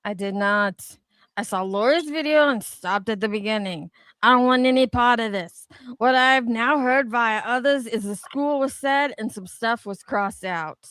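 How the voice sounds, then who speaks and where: High-voiced irreverent woman, dry close sound. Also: moderate pace, fairly bright tone, no echo. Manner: irreverent, sweary, tries on rough accents for fun. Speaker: co-host reading comments aloud. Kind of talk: dramatic reading and casual banter.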